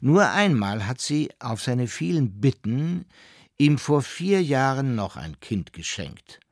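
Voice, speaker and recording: A man's voice: medium pitch, sing-song, slow pace, no room sound, clean studio sound, heavily acted. An actor playing a formal male divorce lawyer who reads out a legal letter in stiff official phrasing.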